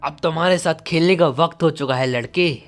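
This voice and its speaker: A man's voice: on the high side, a little fast, faint music behind, lightly acted. A man voicing an irritated, proud knight with a threatening, indignant manner in a manga story. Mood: menacing. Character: irritated proud male knight, a menacing duelist.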